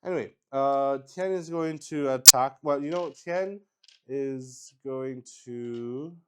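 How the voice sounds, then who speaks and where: A man improvising narration as he plays a solo tabletop roleplaying game, thinking aloud in a halting way. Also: medium pitch, smooth. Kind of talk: improvised game narration while rolling dice.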